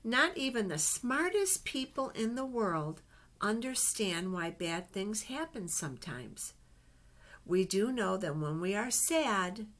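A middle-aged woman reads picture books aloud to children, adding chatty asides and simple questions. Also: mid-range voice. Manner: simple, child-directed phrasing with gentle questions. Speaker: children's Bible storyteller. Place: small indoor office. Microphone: webcam at desk distance.

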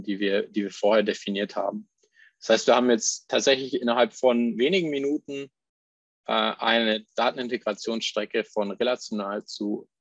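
A man presenting a live software demo, explaining each step as he clicks through it, with fillers and restarts. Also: on the low side, slightly rough, dry recording, muffled recording.

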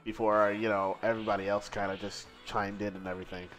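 A man co-hosting a live fighting-game stream, commentating matches and reading chat aloud, excitable and quick to laugh. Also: fairly low pitch, faint music behind. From Seattle.

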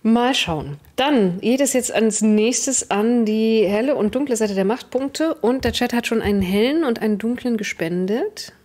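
A woman's voice: fairly high pitch, expressive, a little slow, hardly any pauses, a little mellow. A young adult woman, recapping a tabletop role-playing game to her players as game master on a video call.

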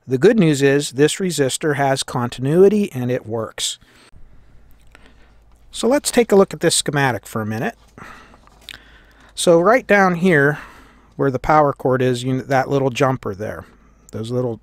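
An American man talks through hands-on technical work in an informal, plain-spoken way. His pitch sits in the middle range for a man. He explains each step as he goes, and the sound is dry, with little room echo. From United States. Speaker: host of a radio repair tutorial. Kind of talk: hands-on tutorial, explaining as he works. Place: indoors at a workbench.

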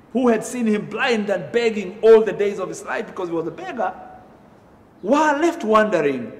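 Kenyan pastor preaching in an echoey church. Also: male voice, high voice. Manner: emphatic preaching with gestures, rising loud on key phrases. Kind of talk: sermon. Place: church sanctuary, indoors. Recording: echoey room reverb.

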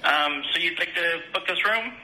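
A man's voice: fairly high pitch, moderate pace, bright, forceful tone, reverberant, low-quality recording. A man taking a phone booking as a reservations agent, polite, apologetic and hesitant. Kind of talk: customer service phone call.

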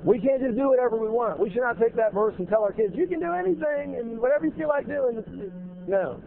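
A man's voice: very high-pitched, expressive, quick pace, vocal fry. A man in his thirties preaching a sermon in a church sanctuary on a lapel mic, emphatic and repeating key phrases. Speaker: pastor. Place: large church sanctuary with choir behind. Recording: tinny narrow-band audio, faint noise.

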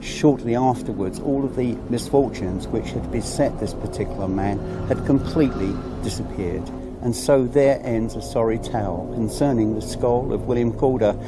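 A middle-aged Englishman presenting a documentary to camera in an outdoor country churchyard, telling the story in a measured, solemn way. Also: mid-range voice, over music, poor audio.

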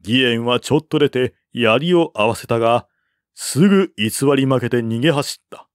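A man's voice: medium pitch, animated, moderate pace, dry recording, clean studio sound. A man narrating a historical war novel as an audiobook, in a dramatic literary style.

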